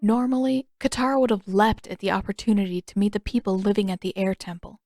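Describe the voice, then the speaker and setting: A woman's voice: mid-range voice, fairly even intonation, fast, dark tone, soft background music. A woman reading scripted fiction as an audiobook narrator.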